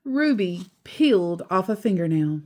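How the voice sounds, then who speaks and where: A woman reading a children's picture book aloud as storyteller, expressive and deliberate, with casual asides. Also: fairly low pitch, a little hiss or hum.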